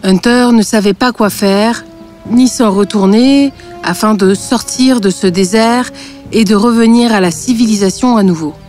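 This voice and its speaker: A woman narrating a scripted fairy tale, with a deadpan streak. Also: medium pitch, a little slow, soft background music.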